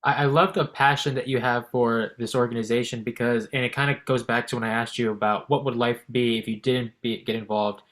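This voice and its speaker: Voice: mid-range voice, fairly even intonation, brisk, few pauses, a bit bright. A podcast host asking long, rambling questions and repeating words while framing them. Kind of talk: casual interview questions.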